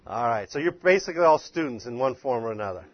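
A man's voice: mid-range voice, lively intonation, fast, no room sound, dull audio. A man teaching a class informally, addressing the group in a folksy, teasing, encouraging way. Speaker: instructor leading a church-planting class.

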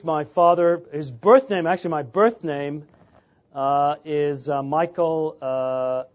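A man in his fifties, from England, giving an informal lecture on church history, often pausing on filler sounds and restarting. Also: a little high, muffled recording.

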